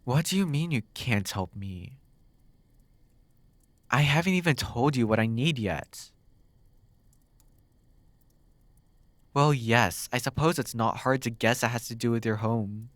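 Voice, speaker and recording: A man's voice: mid-range voice, animated, dry recording, lightly acted. Man acting out a teasing demon, close and dry. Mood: startled, intent. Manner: teasing, sardonic, drawn-out words with dramatic pauses. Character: flirty, teasing male demon captor, sardonic and curious. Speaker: ASMR roleplay voice actor. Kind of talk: ASMR roleplay, intimate one-sided dialogue.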